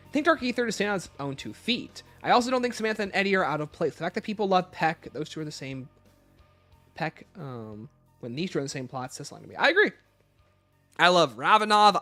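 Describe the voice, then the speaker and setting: A man's voice: fairly high pitch, pushed and bright. A man hosting a livestream, casually reading out questions and answering them with emphatic opinions.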